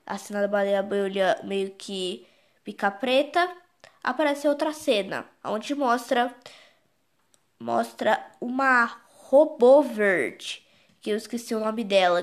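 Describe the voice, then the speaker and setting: Brazilian woman, kinda high voice, casual video recap, rambles and repeats stuff while finding words. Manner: rambling, repetitive, searching for words. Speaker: video creator explaining own animated horror episode. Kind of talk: casual unscripted recap commentary.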